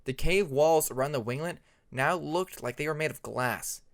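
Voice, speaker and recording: A man's voice: fairly high pitch, moderate pace. A man reading a fantasy story aloud as an audiobook narrator, in a scripted narration style. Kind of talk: scripted fan fiction narration.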